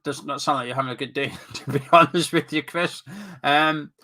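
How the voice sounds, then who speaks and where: A man's voice: fairly high pitch, fairly even intonation, normal speed, sharp tone. A Scottish man hosting a live stream from his webcam, reading out viewer comments and chatting, sniffly and blocked up with a cold. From Scotland. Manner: chatty, banters with chat, drifts off topic.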